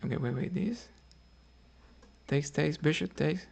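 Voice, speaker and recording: A man from Cuba, streaming his chess games and quietly thinking his moves out loud. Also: fairly high pitch, a little slow, vocal fry. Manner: thinking aloud, muttering moves quietly, hesitant.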